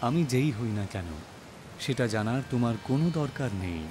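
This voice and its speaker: A man voicing a solemn, commanding messenger from the rain heavens, with a measured, authoritative delivery in an animated story. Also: mid-range voice, background noise, lightly acted. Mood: solemn warning. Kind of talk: animated moral story dialogue. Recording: loud music behind the voice.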